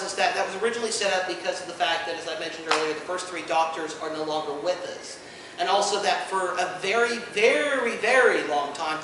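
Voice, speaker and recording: A man giving an informal panel presentation to an audience, an enthusiastic explainer who speaks haltingly with many asides. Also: high voice, sharp tone. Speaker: convention panelist presenting audio drama releases. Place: indoor convention panel room. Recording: echoey room from far off, noisy.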